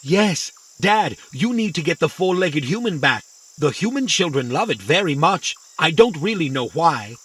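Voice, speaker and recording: A chatty, pedantic little robot from a children's audio adventure. The voice is high and bright, swinging widely in pitch. It takes every word literally and gets excited easily. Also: male voice, moderate pace, breathy edge, full character voice. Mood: chatty, eager. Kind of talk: children's audio drama acting.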